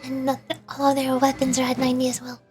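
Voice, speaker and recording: Female VTuber game streamer, high voice, playful and self-deprecating casual play-by-play over game music. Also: completely flat delivery, fast talker, darkish tone. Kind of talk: casual live gameplay commentary, chatting with viewers.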